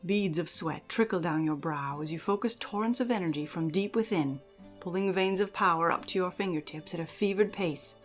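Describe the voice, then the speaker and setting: Woman reading a fantasy story all theatrical and measured, kinda low voice, bit of music under. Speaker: audio drama narrator. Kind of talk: dramatic second-person fantasy story narration.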